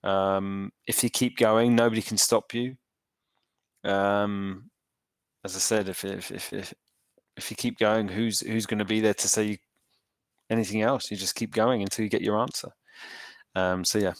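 A man in his thirties answering interview questions in a thoughtful, halting way, full of ums and restarts. His voice sits low and comes through smooth and resonant. The recording is close and dry, with little room sound. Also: level tone, dry recording. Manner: thoughtful, hesitant with many fillers and restarts. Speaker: entrepreneur guest.

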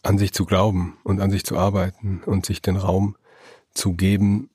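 Low, husky-voiced man. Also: flat delivery, moderate pace, dark tone, no echo, studio quality. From Germany. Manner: thoughtful, restarts words often. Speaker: novelist guest. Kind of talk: reflective interview talk.

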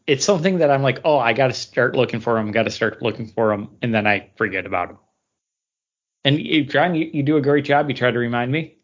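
A man's voice: medium pitch, lo-fi sound. A man co-hosting a podcast with casual banter, telling stories and acting out bits of dialogue. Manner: animated storytelling, acting out dialogue. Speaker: podcast co-host.

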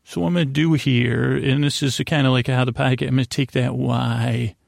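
A man hosting a bedtime podcast in a creaky voice, rambling in a gently silly, halting way that meanders and circles back. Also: medium pitch, steady tone, no echo, studio quality. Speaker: podcast host. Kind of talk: rambling bedtime monologue to aid sleep.

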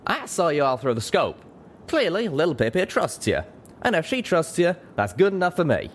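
Man doing a high, folksy cowboy pegasus. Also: sing-song, normal speed, slight background noise. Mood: easygoing, sincere. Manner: folksy, hearty, easygoing. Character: folksy, friendly male pegasus cowboy, easygoing and trusting.

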